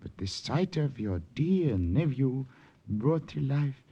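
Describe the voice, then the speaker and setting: An actor playing a kindly, sly French village priest in a radio drama, with a French accent and a courteous, hesitant manner. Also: male voice, mid-range voice, very animated, takes it slowly, warm tone, breathy edge, exaggerated voice. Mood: warm, sly. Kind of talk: 1950s radio drama acting. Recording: muffled old radio recording.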